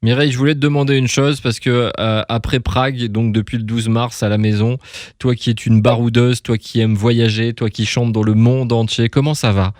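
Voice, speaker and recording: Male radio host, mid-pitched, close dry sound, casual and familiar interviewing. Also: fairly even intonation, normal speed, darkish tone, no room sound. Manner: informal, familiar address. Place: radio show.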